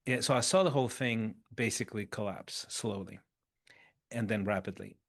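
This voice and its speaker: A man in his fifties, a podcast guest, telling his story in an animated, conversational way and restarting phrases as he thinks. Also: lowish voice, moderate pace. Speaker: interview guest.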